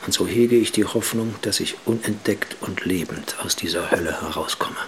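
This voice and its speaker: An actor playing an anxious, limping fugitive in a hushed first-person monologue of a German radio drama. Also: male voice, low-pitched, moderate pace, very noisy, lightly acted.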